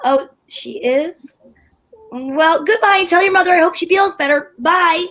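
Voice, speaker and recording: A woman's voice: very high-pitched, measured pace, fairly bright tone, exaggerated voice. A woman playing a sarcastic, scheming housewife in an amateur old-time radio comedy. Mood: exasperated. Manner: tart, sarcastic, flustered. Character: scheming indignant housewife Liz.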